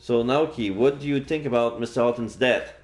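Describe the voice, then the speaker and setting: A man's voice: mid-range voice, brisk, a little mellow. A man reading visual novel dialogue and narration aloud as a game streamer. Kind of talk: live read-aloud of visual novel lines. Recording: faint game music behind.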